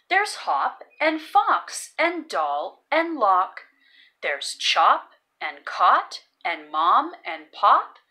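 Female read-aloud narrator for young children: very slow, hugely varied pitch, sing-song and deliberate, stressing key words. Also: bright, forceful tone. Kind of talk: expressive picture-book reading with phonics teaching.

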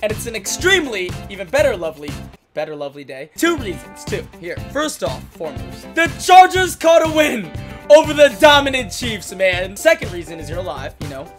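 Hoarse, excitable young gaming host. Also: male voice, sits high, fairly quick. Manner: excitable, hyped outbursts. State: hoarse, losing his voice. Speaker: gaming YouTuber. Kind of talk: casual gameplay commentary. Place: small room at a desk. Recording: music playing behind the voice.

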